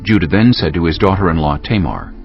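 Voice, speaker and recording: A man narrating a dramatized scripture text in a measured, solemn storytelling style. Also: sits low. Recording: dull lo-fi audio, faint music behind.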